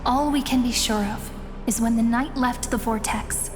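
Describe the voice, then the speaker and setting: Woman doing grave game narrator, fairly high but very even voice, reverby. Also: close to an everyday voice. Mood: solemn. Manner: measured, grave, restrained. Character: solemn female storyteller chronicling an empress's legend. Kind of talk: scripted story narration in a video game. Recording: long reverb with music behind.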